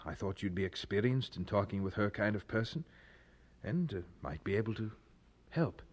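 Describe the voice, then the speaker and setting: An actor playing an earnest, troubled young university student in a radio drama, speaking formally and with some hesitation. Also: male voice, lowish voice, expressive, moderate pace. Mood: reserved, polite.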